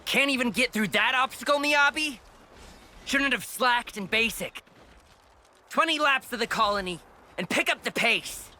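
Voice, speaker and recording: An actor playing a harsh, scornful drill instructor in a video game, barking orders. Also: male voice, very high-pitched, a little slow, long pauses, pushed and bright, a little hiss or hum, full character voice. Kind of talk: video game cutscene acting.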